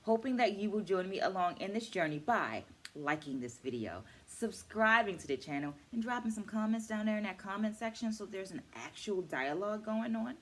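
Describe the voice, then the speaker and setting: A middle-aged woman hosting a book club vlog, explaining to camera with deliberate, emphatic phrasing. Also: medium pitch, lively intonation. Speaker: host of a virtual book club, educator. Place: small furnished room at home, indoors.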